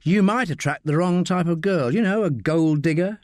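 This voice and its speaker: A man's voice: a little high, normal speed, a little mellow, no room sound, clean studio sound, voice pushed up, lightly acted. A man voicing a playful, probing woman detective in a narrated story. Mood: teasing.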